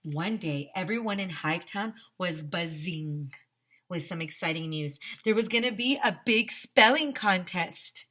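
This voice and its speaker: A woman with a fairly low, bright voice reads a storybook aloud like a teacher in front of young children. She takes her time, stresses words expressively, and turns to the children with questions and asides. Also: measured pace. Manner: expressive, exaggerated emphasis, addresses children directly. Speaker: teacher reading a picture book aloud to children.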